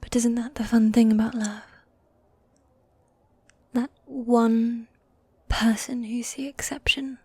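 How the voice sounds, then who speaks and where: A woman voicing a teasing, tender demon girlfriend in a medieval fantasy ASMR roleplay, speaking in soft breathy whispers with formal, old-fashioned phrasing. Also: fairly high pitch, no room sound. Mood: tender, musing. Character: gothic demon girlfriend, teasing, tender, dominant yet needy.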